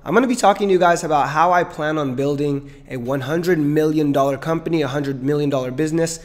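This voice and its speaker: A man in his twenties, an entrepreneur vlogger, talking straight to camera in an energetic, emphatic and persuasive way. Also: a little high, fast talker. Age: 20s. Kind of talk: direct-to-camera business vlog.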